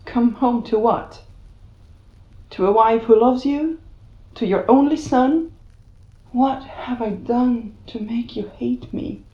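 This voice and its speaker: A woman's voice: on the high side, takes it slowly, pauses often, roomy sound, muffled recording. A woman playing a hurt, pleading wife and protective mother in a cinematic audio drama. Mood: hurt, defiant. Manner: pleading, wounded.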